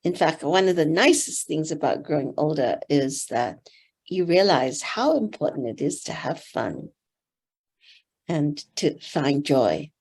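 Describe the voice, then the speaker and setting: An older woman from Singapore whose first language is Teochew, giving gentle, thoughtful interview answers with soft pauses. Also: low voice, sing-song, gravelly, husky. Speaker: interview guest, board member.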